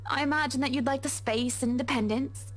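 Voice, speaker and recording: A woman voicing a troubled young wealthy wife in a game, speaking in measured, proper sentences. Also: high voice, fairly even intonation. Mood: careful. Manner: measured, refined speech breaking into swearing. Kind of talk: game voice acting.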